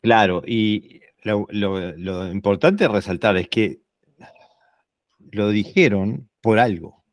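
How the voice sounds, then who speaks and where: Man, Rioplatense Spanish accent, podcast host, agreeable, low voice, dry sound. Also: slow pace, dry recording. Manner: agreeable, brief backchannels, laughs easily. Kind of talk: casual podcast conversation.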